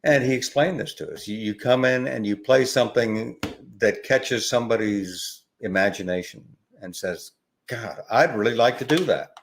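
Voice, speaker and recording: An older man talking as a podcast guest, rambling and halting with many fillers and restarts. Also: mid-range voice. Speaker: podcast guest, ukulele educator. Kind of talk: relaxed conversational interview.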